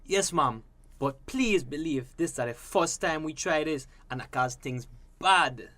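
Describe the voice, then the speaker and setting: A man's voice: on the high side, unhurried, bright, forceful tone, no room sound. An actor playing an apologetic fisherman caught poaching, pleading in a Belizean Creole accent in a radio drama. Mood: contrite. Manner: contrite, pleading.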